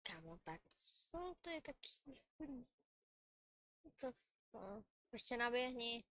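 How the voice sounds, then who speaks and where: A woman's voice: fairly high pitch, sing-song, measured pace, fairly bright tone, dry recording, studio quality. A woman game streamer chatting informally and colloquially on a live stream. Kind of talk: casual live-stream chat.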